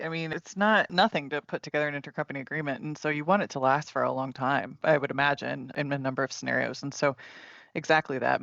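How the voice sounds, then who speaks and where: A woman leads a panel discussion in a conversational, engaged way. Her voice sits at a middle pitch with a creaky edge. The sound is dry and a little muffled. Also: a bit bright, no room sound. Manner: engaged, prompting others with questions. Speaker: podcast host.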